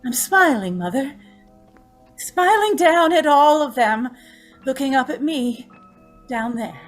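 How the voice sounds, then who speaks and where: Young condemned heroine for a radio play: intense, fragile, very high voice, unhurried delivery. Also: female voice, lively intonation, faint music behind. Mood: fearful resolve. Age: young adult. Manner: pleading, tremulous, intense. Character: anguished young condemned woman haunted by an inner voice. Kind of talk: old-time radio drama acting.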